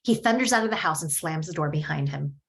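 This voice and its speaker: Woman reading screenplay directions, low voice, steady. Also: quick pace, creaky. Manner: steady, descriptive. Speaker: narrator reading stage directions. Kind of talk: table read of a screenplay.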